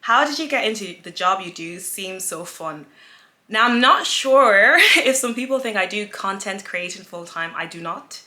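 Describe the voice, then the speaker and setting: A woman's voice: mid-range voice, lively intonation, normal speed, bright, forceful tone. A woman in her twenties from Nigeria, chatting casually and giggling as she answers viewer questions in a sit-down vlog. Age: 20s. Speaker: influencer, vlogger.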